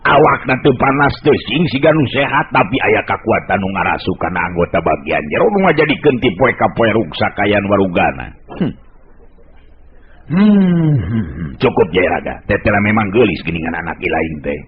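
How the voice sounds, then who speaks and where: Sly, lecherous old sorcerer, tinny radio. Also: male voice, lowish voice, sing-song. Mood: pondering. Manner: drawn-out gloating words, chuckles, coarse address. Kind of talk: Sundanese radio storytelling drama. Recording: narrow, hissy old radio recording.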